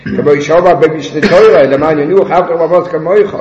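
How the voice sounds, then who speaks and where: A man leading a religious text study discussion, repeating words in stammering bursts and reciting passages rapidly. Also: fairly high pitch, over a noisy crowd. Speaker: study session leader. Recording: roomy, dull recording with people around.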